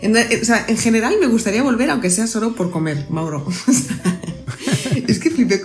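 A woman from Spain with a Spanish accent, a podcast guest who talks in a lively, expressive way and laughs easily. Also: on the high side, brisk, echoey room, faint music behind. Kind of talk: casual interview chat.

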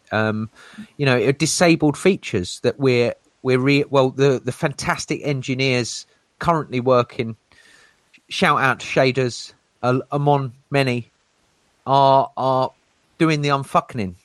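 Guy hosting a crypto chat show, hammy, laughs a lot, dry close mic. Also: dry recording. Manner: playful showman, jokey put-on low announcer voice, laughs a lot. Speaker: crypto channel host. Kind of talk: casual crypto talk show.

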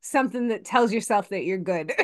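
A woman's voice: medium pitch, lively intonation, normal speed, crowd noise. A woman in her forties, a podcast co-host, talking in a chatty, rambling way and laughing often. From Massachusetts. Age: 40s. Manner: many fillers and restarts. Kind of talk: casual chat.

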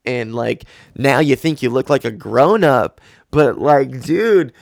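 Twenty-something dude musing on his podcast, loose and filler-heavy, mid pitch, dry room. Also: slow, gravelly, no room sound. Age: 20s. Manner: casual, rambling, frequent fillers and asides. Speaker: podcast host. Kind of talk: rambling solo podcast talk.

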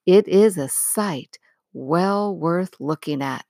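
A woman narrating a storybook as an audiobook reader, with measured, expressive phrasing. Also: on the low side, no room sound, clean studio sound.

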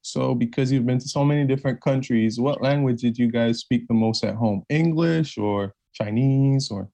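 A man's voice: medium pitch, normal speed, gravelly, warm tone. Laid-back male podcast host. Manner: casual, laid-back questioning. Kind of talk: casual interview hosting.

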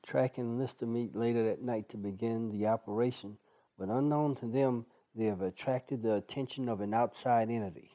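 A man's voice: mid-range voice, fairly even intonation, normal speed, dark tone, lightly acted. A man playing a matter-of-fact narrator of a scripted science fiction audio drama.

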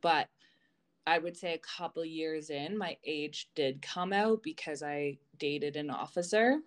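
Slow-paced woman chatting candidly. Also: sits low. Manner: candid, informal, swearing. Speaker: podcast co-host. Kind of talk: casual chat, personal anecdotes.

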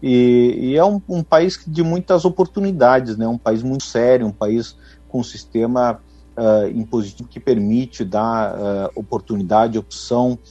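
A middle-aged Brazilian man speaks in a mellow, warm tone, measured and explanatory, often tagging his points with a quick question. The sound is dry and slightly dull, with faint music underneath. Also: medium pitch, fairly even intonation, no room sound. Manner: measured, explanatory, with frequent "né?" tags. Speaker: winemaker guest. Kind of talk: radio interview.

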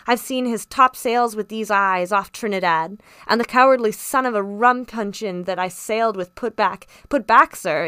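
A woman's voice: mid-range voice, fairly bright tone, no echo, clean studio sound, natural voice. A woman voicing a hot-headed, pompous English country squire in a storybook, exclaiming and repeating himself emphatically.

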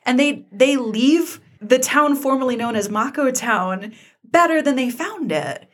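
A woman's voice: sits high, expressive, a little slow. A woman with a Southern American accent co-hosting a conversational recap show, talking in an earnest, emphatic way. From American South (Georgia). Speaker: podcast co-host.

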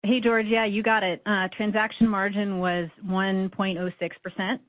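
A woman speaking as a finance executive on an earnings call, giving measured, businesslike explanations with short hesitations. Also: mid-range voice, level tone, a little hiss or hum. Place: conference phone call. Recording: slightly dull, dry call audio.

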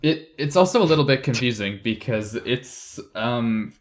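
A man's voice: medium pitch, animated, normal speed, slight background noise. Casual man hosting a podcast chat. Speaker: podcast host leading a group review.

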